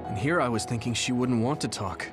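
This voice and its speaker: A man's voice: medium pitch, fairly quick, over music, lightly acted. A man voicing an earnest young soldier who commands a platoon in an anime-style game.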